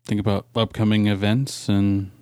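A man's voice: on the low side, level tone, normal speed, a little mellow, clear, resonant tone, no echo. A man co-hosting a podcast, chatting casually in a laid-back way with short, simple remarks. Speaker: podcast co-host. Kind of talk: casual chat.

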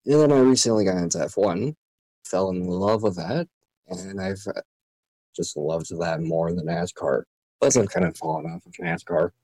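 A man's voice: deep voice, moderate pace, a little mellow, dry recording, poor audio. A nineteen-year-old man hosting a casual chat with friends, blunt and swearing freely. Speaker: host asking questions.

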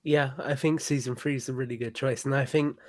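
A man's voice: medium pitch, fairly even intonation, a little fast, a little mellow, no echo. A man, a podcast guest chatting casually and with enthusiasm.

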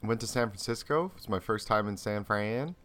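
Low voiced dude, pitch bouncing all over, crude blunt jokes. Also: male voice, expressive, moderate pace, fairly bright tone. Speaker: podcast co-host. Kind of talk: casual chat.

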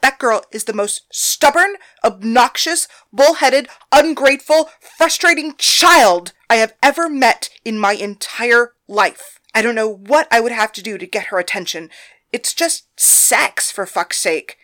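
A woman voicing a commanding, guarded woman from a brothel, brusque and forceful. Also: a little high, expressive, unhurried, full character voice. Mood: ranting. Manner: brusque and forceful, raising her voice in anger.

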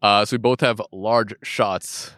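A man's voice: lowish voice, steady tone, measured pace, fairly bright tone, no echo, studio quality. A man co-hosting a casual podcast, rambling and swearing freely. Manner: profane, rambling, loose. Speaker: podcast co-host.

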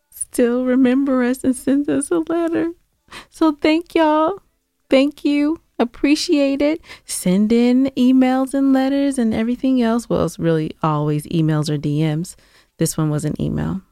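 A woman's voice: high voice, steady tone, measured pace, creaky, dark tone, dry recording, clean studio sound. A woman co-hosting a podcast in casual banter, sassy and playfully argumentative. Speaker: podcast co-host.

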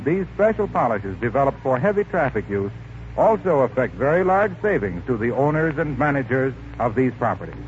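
A man working as a radio announcer, reading scripted narration and sponsor copy in 1940s style. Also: mid-range voice. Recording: dull, noisy 1940s radio recording.

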